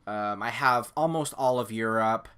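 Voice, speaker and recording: Bright-voiced rambling young man. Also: lowish voice, measured pace, clear, resonant tone. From California. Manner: rambling, self-deprecating, many fillers and restarts. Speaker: gaming YouTuber. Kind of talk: casual gameplay commentary to camera. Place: home room. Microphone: desk microphone.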